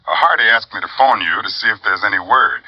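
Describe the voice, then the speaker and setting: An actor playing a polite, hesitant longtime employee speaking over the telephone in a 1950s radio drama. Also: male voice, fairly low pitch, expressive, no echo. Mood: anxious, deferential. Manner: halting, polite, subdued. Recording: dull, dry vintage radio recording.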